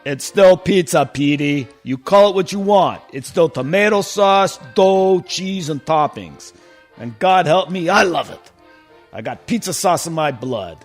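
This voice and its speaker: An actor playing a stressed, irritable Italian-mob boss in a comedic radio play, blustering and hesitant. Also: male voice, on the high side, expressive, measured pace, faint music behind. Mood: exasperated. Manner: blustering, hesitant, peppered with mild swearing. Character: stressed, irritable Italian-American mob boss who secretly runs pizzeria.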